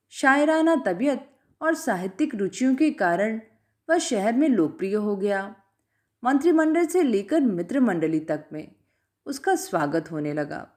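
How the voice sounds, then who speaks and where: A woman reading a literary story aloud in a measured, scripted narration style. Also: a little high, darkish tone.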